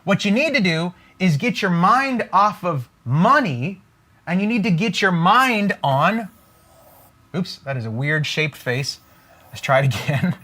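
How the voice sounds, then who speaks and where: Male business coach, slightly high voice with big swings in pitch, emphatic whiteboard pitch to viewers. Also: pushed and bright. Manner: emphatic, keeps asking "right?" and "okay?". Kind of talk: motivational training at a whiteboard. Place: room with a whiteboard.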